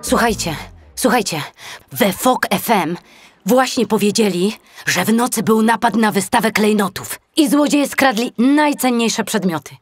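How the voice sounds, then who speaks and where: A woman's voice: a little high, no echo. A woman playing a sensible young female seal in an audiobook, speaking in an earnest, insistent way. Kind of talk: audiobook character acting.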